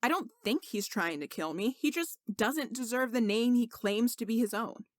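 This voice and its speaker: Indignant young servant sorcerer acted by a woman, earnest, insistent, fairly high pitch, close dry sound, storybook fantasy. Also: lively intonation, normal speed, no echo, close to an everyday voice.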